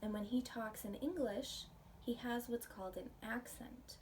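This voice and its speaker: American female preschool teacher for story time, soft mellow tone, gentle and unhurried, recorded at a distance. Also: a little high. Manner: gentle, child-directed, asks the listeners questions. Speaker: pre-K teacher. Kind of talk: children's picture book read-aloud with simple explanations. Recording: distant mic, faint background noise.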